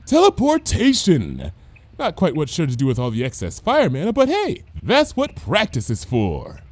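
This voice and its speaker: Man voicing a breezy, high-pitched cartoon mare troublemaker. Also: huge pitch swings, moderate pace, darkish tone, dry recording. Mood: cheerfully boastful. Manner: breezy, chatty, blithely unconcerned. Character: brash, carefree female pony troublemaker and conspiracy theorist. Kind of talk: animated fan fiction reading.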